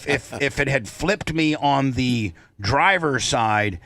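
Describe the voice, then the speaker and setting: Bright, forceful man telling a story. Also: medium pitch, expressive, slow, no echo. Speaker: talk show host. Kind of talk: casual storytelling on a talk show.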